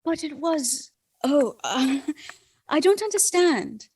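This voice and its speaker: An actress playing a sheltered, eager young gentlewoman in a radio play, with an earnest, measured delivery. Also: sits high, expressive, slight background noise. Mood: startled, curious. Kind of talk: dramatic radio play acting.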